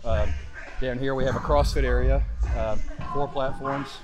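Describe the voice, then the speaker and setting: A man's voice: medium pitch, fairly even intonation, moderate pace, dull audio. A middle-aged man giving a casual, informal walking tour, explaining things as he goes through a large indoor gym, picked up by a handheld camera. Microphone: handheld camera a step or two away. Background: loud gym music.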